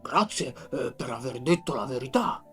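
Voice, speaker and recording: A woman voicing a small dragon with a cold who speaks quietly and gently. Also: rumbling low, animated, moderate pace, soft background music, pitched below the performer's own voice. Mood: hushed. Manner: hushed, quiet. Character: soft-spoken little dragon with a cold.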